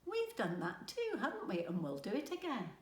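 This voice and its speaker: A woman's voice: on the high side, huge pitch swings, moderate pace, echoey room. A woman voicing an eager, affectionate little granddaughter from a children's storybook. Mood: delighted. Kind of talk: children's storybook read aloud.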